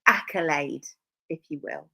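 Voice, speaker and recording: A woman's voice: low voice, measured pace, vocal fry, sharp tone, slightly rough. A woman hosting a live stream, talking casually with dry sarcastic asides and rambling self-corrections.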